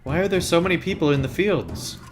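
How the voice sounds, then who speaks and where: A man's voice: expressive, brisk, over music. A man casually commenting on a story game, thinking aloud with fillers and restarts, and sometimes reading the game's text aloud. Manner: rambling, thinks aloud with fillers and self-corrections; voice sometimes jumps very high when joking. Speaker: let's play gamer.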